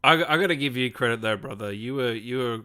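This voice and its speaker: A man with a crisp, very bright voice hosts a casual gaming interview. He sounds matey and informal as he puts questions to his guest. His pitch sits in the middle range for a man. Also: fast. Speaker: interviewer, gaming show host. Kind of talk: casual livestream interview, reading out viewer questions.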